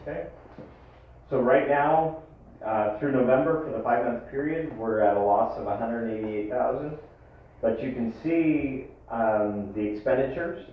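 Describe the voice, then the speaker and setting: A man presenting finances informally at a board meeting, explaining in a measured way with pauses. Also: medium pitch. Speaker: health district staff presenting finances. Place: meeting room, distant microphone. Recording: tinny echoey room from far off, faint noise.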